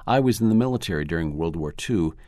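A man reading the quoted lines of a friendly older male church leader, asking questions calmly. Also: deep voice, fast talker, no echo, clean studio sound. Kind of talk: read-aloud quoted dialogue.

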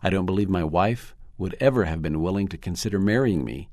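A man reading a first-person article aloud in a measured, earnest way. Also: sits low, a little mellow, no room sound, studio quality. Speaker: narrator.

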